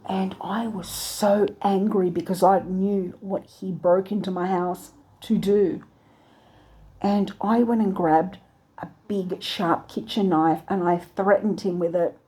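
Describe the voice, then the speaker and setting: Soft-toned, husky woman telling a dream. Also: mid-range voice. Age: adult with a three-year-old daughter. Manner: earnest, halting, searching for words. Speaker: channel host recounting a dream. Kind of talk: personal dream testimony.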